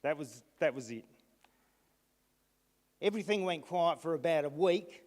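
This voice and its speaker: A man addressing a council meeting, recounting events haltingly with restarts. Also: fairly high pitch, lively intonation, a little mellow. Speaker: resident speaking at council meeting. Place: council chamber. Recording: long reverb in a hall.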